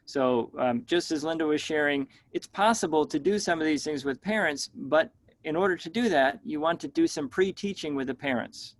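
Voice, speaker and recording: A man moderating a professional discussion over a video call, measured and thoughtful, asking the group open questions. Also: fairly high pitch, no room sound.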